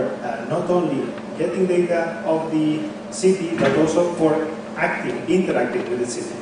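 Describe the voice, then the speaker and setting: A man whose first language is Spanish, giving a technical conference talk in a halting way, full of fillers and restarts. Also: fairly high pitch, recorded from a distance, very noisy. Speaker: conference speaker. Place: conference hall. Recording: echoey hall, mic from afar.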